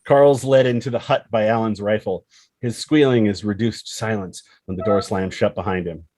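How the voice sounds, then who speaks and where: A man with a husky voice reading dramatic screenplay stage directions as narrator. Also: on the low side, steady tone, normal speed, darkish tone.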